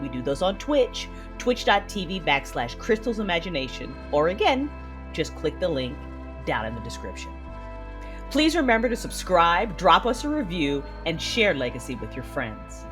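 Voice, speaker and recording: A woman's voice: fairly low pitch, sing-song, moderate pace. A woman host reading a scripted outro announcement for a podcast. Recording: faint music behind the voice.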